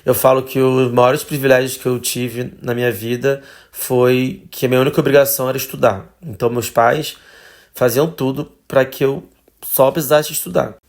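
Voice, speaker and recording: A man in his thirties with a Carioca accent talks about his own life in a casual, unhurried way. He often trails off and restarts his sentences. His voice sits at an ordinary middle pitch. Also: creaky. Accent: Carioca Portuguese. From Rio de Janeiro, Brazil. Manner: relaxed, conversational, with fillers and trailing phrases. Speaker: podcast guest, traveler. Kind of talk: casual personal storytelling.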